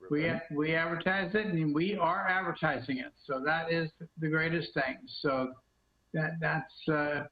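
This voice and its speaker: A man casually hosting a live amateur television link-up, halting and often repeating his phrases. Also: fairly high pitch, fairly even intonation. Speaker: net host of amateur television party. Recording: tinny phone-like audio.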